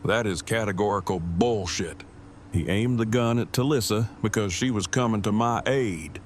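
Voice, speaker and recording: A man's voice: on the low side, moderate pace, a little hiss or hum, close to an everyday voice. A man playing an indignant, wordy big man in an audio drama, speaking in formal, precise phrases. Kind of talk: fan audio drama acting.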